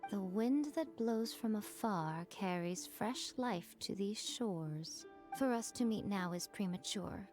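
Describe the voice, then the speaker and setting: A woman voicing a majestic, mysterious, knowing lady with a measured, cryptic manner in a video game. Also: mid-range voice, animated, darkish tone, faint music behind. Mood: knowing, serene.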